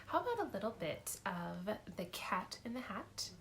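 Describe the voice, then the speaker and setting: A woman preschool teacher reading a picture book aloud at home in an expressive, sing-song storytelling way. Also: medium pitch, moderate pace, vocal fry, slight background noise.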